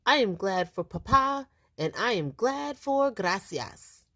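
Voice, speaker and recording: A woman's voice: medium pitch, big pitch swings, slow pace, pushed and bright, voice pushed up, full character voice. A woman voicing an earnest, sweet little boy in a children's storybook read-aloud. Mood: earnest, questioning. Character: eager, sweet little boy who loves his pet turkey.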